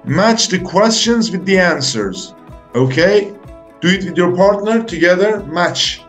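The encouraging young man on an online beginners' English class, walking pupils through each exercise bit by bit, soft music under his slow voice. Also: sits high, lively intonation. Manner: deliberate, short phrases with pauses, encouraging. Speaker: English teacher hosting a video lesson. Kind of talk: slow, step-by-step instructional talk for beginners.

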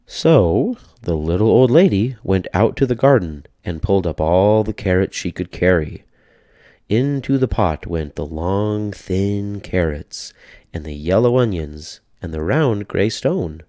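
Male storybook narrator, low pitch, mellow tone, deliberate, rhythmic, expressive. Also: no echo, studio quality. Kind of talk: children's read-aloud, scripted narration.

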